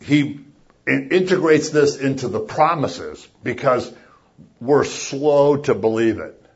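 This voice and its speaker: A man preaching a sermon as a pastor, chatty and animated, acting out little voices as he talks. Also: mid-range voice, takes it slowly, muffled recording. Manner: conversational, animated, acts out voices.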